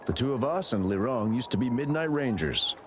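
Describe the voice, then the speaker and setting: A man playing an earnest, polite guard in a video game, somewhat halting and heartfelt. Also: mid-range voice, expressive, a little hiss or hum, lightly acted. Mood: friendly. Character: earnest, polite male guard burdened by guilt.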